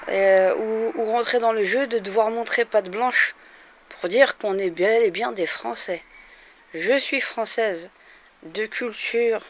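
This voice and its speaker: French woman in her 40s ranting to camera, kinda high bright voice, dull lo-fi sound. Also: a little hiss or hum. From France. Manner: earnest, grows heated and emphatic, frequent hesitations. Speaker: vlogger. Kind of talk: direct-to-camera opinion monologue. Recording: slightly dull lo-fi recording.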